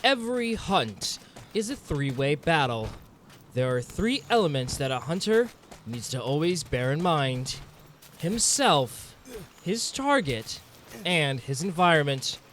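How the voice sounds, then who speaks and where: A man narrating video game footage in a dramatic, documentary-like storytelling style with formal, measured wording. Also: fairly high pitch, very animated, a bit bright, slight background noise. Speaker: game streamer narrating own hunt. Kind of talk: scripted-sounding nature documentary style game commentary. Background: game music under the voice.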